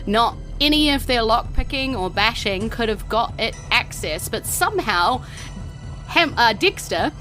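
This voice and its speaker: An excitable, playful woman with a New Zealand accent, hosting and narrating a tabletop game podcast in a theatrical, chatty way. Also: a little high, measured pace, faint music behind. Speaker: podcast host and game master.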